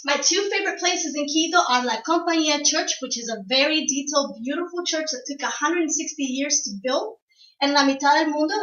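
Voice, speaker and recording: A young woman gives a prepared class presentation and speaks straight to her listeners. Her voice sits high. It is heard from a distance in an echoey room, with a dull lo-fi sound. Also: a bit bright. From Ecuador. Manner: prepared, explanatory, addresses the audience directly. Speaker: student speaker. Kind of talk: classroom informative speech.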